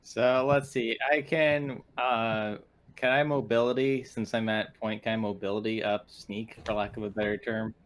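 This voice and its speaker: A man's voice: on the low side, flat delivery, moderate pace. A man chatting casually as he plays a tabletop roleplaying game.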